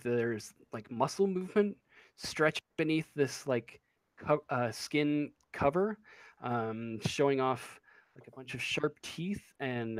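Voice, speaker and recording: A man's voice: medium pitch, dry recording. A man narrating a tabletop role-playing game as game master, improvising and searching for his words.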